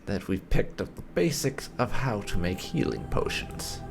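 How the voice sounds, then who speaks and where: A man's voice: on the low side, sing-song, measured pace, vocal fry, over music. A man giving casual, chatty, explanatory commentary as he hosts a video game playthrough. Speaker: gaming video host.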